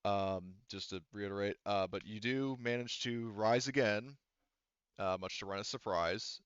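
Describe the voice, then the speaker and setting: A man's voice: lowish voice, a little slow, a bit bright, clean tone, no room sound, highs cut off. A man running a tabletop roleplaying game as game master, explaining things casually and hesitantly.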